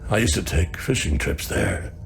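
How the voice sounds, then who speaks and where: A sad older dad in a game, gravelly and low, wistful, faint music behind. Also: male voice, huge pitch swings, moderate pace, heavily acted. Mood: wistful, regretful. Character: weary, regretful older father reflecting on life. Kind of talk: video game cutscene acting.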